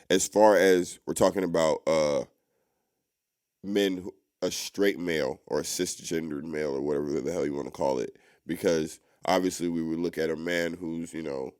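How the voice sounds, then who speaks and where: A man's voice: a bass voice, darkish tone. A man co-hosting a casual discussion, arguing his points in a rambling, emphatic way. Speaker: podcast co-host.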